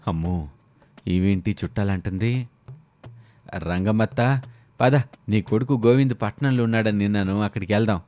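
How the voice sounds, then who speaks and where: A man's voice: lowish voice, moderate pace, pauses often, a little mellow, dry recording, natural voice. A man voicing a grumbling, put-upon village freeloader in colloquial, cartoon dialogue. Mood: flustered. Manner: colloquial, complaining. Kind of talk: animated moral-story dialogue.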